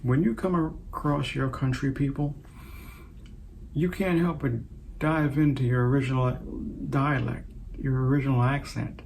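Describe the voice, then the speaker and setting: A man in his sixties, originally from Trinidad, hosting his own show and speaking in an unhurried, reflective way with many pauses. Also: mid-range voice, steady tone, gravelly, a little mellow, slight background noise, low-quality recording. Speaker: host. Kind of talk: casual personal talk to camera.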